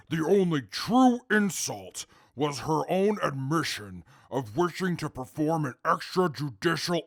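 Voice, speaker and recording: An actor playing a calm, articulate male super mutant who testifies in court in a formal, measured way with a gravelly voice. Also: on the high side, dry recording, studio quality, exaggerated voice. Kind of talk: audio drama acting.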